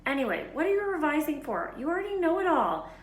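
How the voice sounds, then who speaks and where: A woman's voice: sits high, very animated, fast, voice pushed up. Storybook schoolboy, read by a woman: sceptical, matter-of-fact, blunt, slightly lifted voice, roomy sound. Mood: teasing. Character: casual, know-it-all schoolboy, bluntly sceptical.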